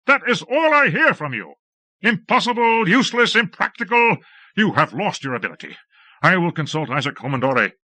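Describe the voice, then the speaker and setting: A man playing an imperious, hot-tempered lord who barks orders loudly. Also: mid-range voice, sing-song, a little fast, pitched above the performer's own voice. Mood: commanding. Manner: barking, shouting orders. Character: imperious, hot-tempered male lord commanding a war machine.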